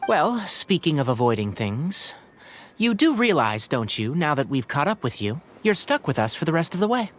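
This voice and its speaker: A man's voice: a little high, very animated, moderate pace, a bit bright, a little hiss or hum. An actor playing a level-headed young male companion with a dry, teasing, practical manner. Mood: easygoing.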